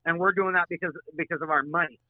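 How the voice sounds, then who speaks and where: A man's voice: fairly high pitch, fairly even intonation, quick pace, no room sound, narrow, tinny audio. A man from Syria talking as a podcast guest in an impassioned, emphatic and insistent way, over thin remote call audio. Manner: emphatic, insistent, repeats phrases for force. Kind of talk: impassioned casual discussion.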